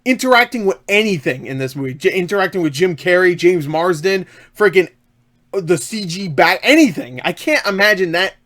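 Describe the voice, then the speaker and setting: Enthusiastic man with a higher-pitched voice. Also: lively intonation, moderate pace, a bit bright. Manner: enthusiastic, jokey, self-interrupting asides. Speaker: online movie reviewer and host. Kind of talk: casual, rambling movie review to camera. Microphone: webcam setup.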